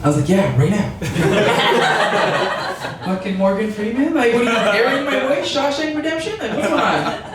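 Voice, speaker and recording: A woman's voice: on the high side, expressive, measured pace, long pauses, a loud crowd. A young woman doing a stand-up comedy set in a barbershop, chatty, laughing often and restarting her sentences. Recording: echoey room from far off, noisy.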